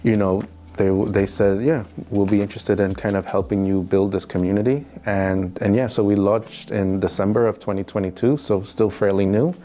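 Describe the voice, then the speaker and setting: A man's voice: on the low side, steady tone, normal speed, few pauses, mellow. A man from New York City with a New York accent, answering interview questions in a casual, hesitant way full of fillers and restarts. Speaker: interview guest, financial crime specialist.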